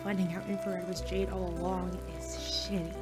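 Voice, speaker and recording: A woman's voice: lowish voice, level tone, normal speed, over loud music, close to an everyday voice. A woman playing a cynical, nerdy teenage secret superhero in an understated, naturalistic audio drama style. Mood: wistful, reflective. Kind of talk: naturalistic audio drama acting with first-person narration.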